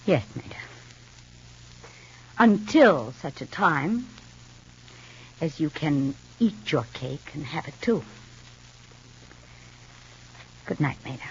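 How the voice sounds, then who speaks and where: An American actress playing a bitter, jealous young woman with a husky voice and a sarcastic, cutting manner in an old radio soap. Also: sits low, sing-song, slow, long pauses, a little hiss or hum, full character voice. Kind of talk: 1950s radio soap acting. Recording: dull vintage radio recording.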